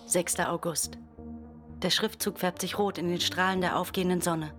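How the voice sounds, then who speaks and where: Lowish female announcer over loud music. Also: fairly even intonation, normal speed. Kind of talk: scripted radio play credits and narration.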